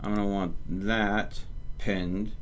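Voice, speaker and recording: A man's voice: lowish voice, flat delivery, takes it slowly. A man giving casual live game commentary as a streamer, thinking aloud and narrating his own steps in short fragments. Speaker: gaming streamer. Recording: clear background noise under the voice.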